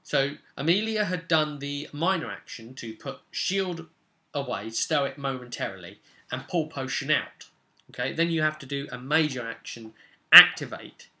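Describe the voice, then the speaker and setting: A man working as a game master, giving a casual, unscripted recap of a tabletop role-play session in a chatty, animated storytelling manner. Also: mid-range voice, normal speed.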